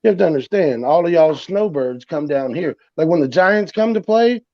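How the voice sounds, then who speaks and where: Brash man with a high voice. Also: lively intonation, normal speed. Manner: blunt, profane, emphatic. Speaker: podcast co-host. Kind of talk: casual sports chat. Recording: dull lo-fi sound.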